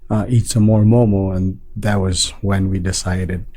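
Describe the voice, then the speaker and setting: A man from Nepal, a restaurant owner being interviewed, talking in a relaxed way with many fillers and restarts. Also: low-pitched, unhurried. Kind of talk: casual interview talk.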